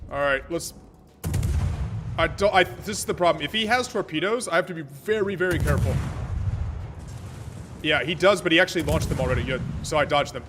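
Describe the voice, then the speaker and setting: An American man streaming a naval battle game, thinking aloud about tactics and chatting with viewers in a relaxed way. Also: a little high, fairly quick, pauses often, background noise. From American, born in Germany. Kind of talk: live gameplay commentary and chat. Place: Vienna home setup.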